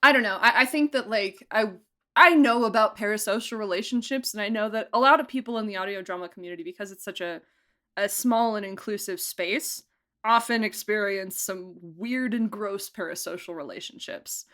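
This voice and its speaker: A woman producer of an audio drama, chatting casually in an interview, animated and laughing often. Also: normal speed. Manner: animated, laughs often, jumps up high in pitch for emphasis.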